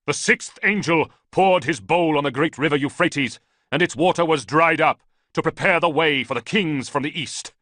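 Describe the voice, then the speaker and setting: A man voicing a bearded, fanatical male priest who chants prophecy in a booming voice. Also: moderate pace, bright, forceful tone, no echo, studio quality. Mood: ranting.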